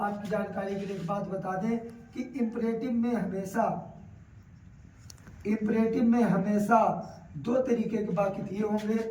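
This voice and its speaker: High-voiced North Indian male teacher, echoey room. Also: darkish tone. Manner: didactic, repeats key phrases for emphasis. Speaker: English grammar teacher. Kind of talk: classroom grammar lesson, explanatory. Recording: roomy echo, distant mic, faint noise.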